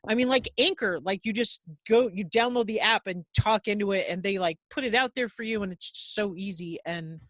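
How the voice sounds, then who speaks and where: A woman's voice: medium pitch, brisk, hardly any pauses, fairly bright tone, dry recording. A woman hosting a gardening podcast, chatting in a rambling way with lots of filler words. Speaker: podcast host. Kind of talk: casual interview chat.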